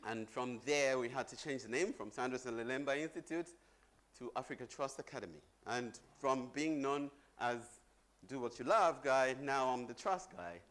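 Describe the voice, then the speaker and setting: A man's voice: medium pitch, normal speed, fairly bright tone. A middle-aged man from Zambia giving a chatty conference presentation on stage, joking with the audience. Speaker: conference speaker.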